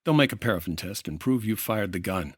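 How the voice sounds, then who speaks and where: An actor playing a dry, relentless 1950s insurance investigator in an old-time radio drama, speaking in a clipped, wry, accusing way. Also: male voice, lowish voice, animated, fairly quick, breathy edge, dry recording. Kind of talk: old-time radio detective drama acting with narrated report.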